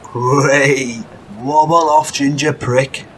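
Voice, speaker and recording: A man playing brash, foul-mouthed working-class lads in a crime audio drama, with a husky, blunt delivery. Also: medium pitch, steady tone, drawn-out words, fairly bright tone, a little hiss or hum. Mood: bitter, mocking. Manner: blunt, sweary, slangy.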